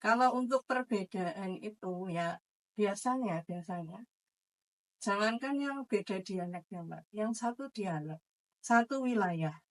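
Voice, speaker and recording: A woman teacher answers interview questions. Her pitch is average, and she explains things step by step, pausing and correcting herself as she goes. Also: rough recording.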